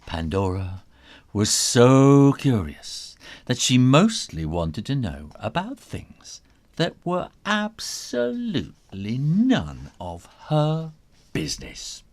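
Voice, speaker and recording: A man's voice: medium pitch, wildly swooping pitch, takes it slowly, a little mellow. A man reading a children's storybook in a theatrical, suspenseful way, stretching out words, dropping into whispers and playing with sound words. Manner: playful onomatopoeia and repetition. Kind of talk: dramatic children's storybook read-aloud.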